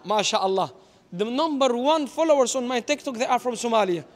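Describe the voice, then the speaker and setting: A man's voice: very high voice, animated, fairly quick. A man in his thirties hosting an on-camera street interview in a shopping mall, mixing in religious phrases. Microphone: small handheld wireless mic.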